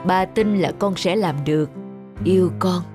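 A woman's voice: fairly low pitch, normal speed, music in the background, natural voice. Earnest, protective cartoon father voiced by a woman. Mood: earnest, caring. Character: big middle-aged father, protective, patient, concerned. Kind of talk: animated moral-tale dubbing.

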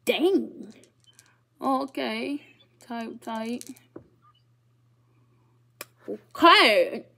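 Young woman streamer, blunt teasing slang-heavy banter, slightly high voice, small bedroom. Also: animated, drawn-out words, creaky, sharp tone. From Ohio. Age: 20s. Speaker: streamer chatting with strangers on video calls. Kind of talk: casual chat.

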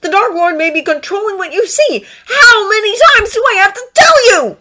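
Woman acting out teenage boy, exasperated, insistent, raised pitch, forceful bright tone, storybook drama. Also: animated, fairly quick. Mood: stung, insistent. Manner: emphatic, pressing, argumentative. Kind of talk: dramatized fiction reading.

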